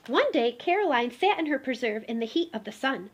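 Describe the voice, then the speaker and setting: Lady doing a lively kids story read-aloud, high swoopy voice, bit of hiss. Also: brisk. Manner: animated, addresses young listeners directly. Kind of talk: expressive children's storybook read-aloud with asides.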